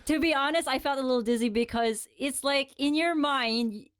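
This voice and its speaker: A woman's voice: sits high, normal speed. A woman from the Philippines co-hosting a podcast in casual chat, often repeating words as she explains things. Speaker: podcast co-host.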